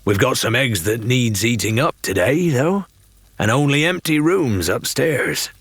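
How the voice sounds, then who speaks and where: A big, whiskered innkeeper of a pirate tavern, acted by a man. He talks in hearty, folksy, rustic phrases with a husky edge. He is jovial and at ease, in a dry audiobook recording. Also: medium pitch, animated, a little slow, a bit bright, no room sound, pitched above the performer's own voice, exaggerated voice. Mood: agreeable, curious. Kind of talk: audiobook character voice.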